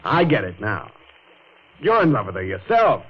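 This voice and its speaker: An actor playing a cocky, mocking romantic rival with a scornful, jeering manner in a 1940s radio melodrama. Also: male voice, fairly low pitch, wildly swooping pitch, normal speed, husky, exaggerated voice. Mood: indignant, suspicious. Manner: scornful, jeering, punctuates talk with laughter. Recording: muffled, noisy old radio recording.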